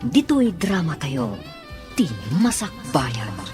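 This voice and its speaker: A woman's voice: sits low, wildly swooping pitch, slow pace. A woman with an Ilocano accent reading dramatic scripted narration as announcer of a radio serial. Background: music.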